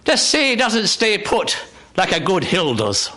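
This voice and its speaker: A man's voice: high-pitched, huge pitch swings, a little slow, pushed and bright, full character voice. A man playing a chatty, teasing country farmer with a stage Irish accent in a radio play. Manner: folksy, rambling, teasing. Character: chatty genial country farmer on a horse cart. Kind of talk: live radio theatre. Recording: hissy live radio studio recording.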